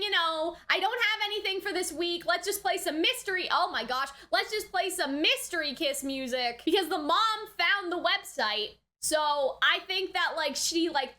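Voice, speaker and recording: A woman giving casual, unscripted reaction commentary as a video host, expressive, exclamatory and slangy, thinking out loud. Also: extremely high pitch. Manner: chatty, thinking aloud.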